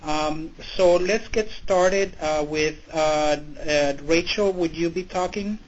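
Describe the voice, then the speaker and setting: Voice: medium pitch, slow. A person informally hosting a conference call over the telephone. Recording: muffled phone line with faint noise, clicks.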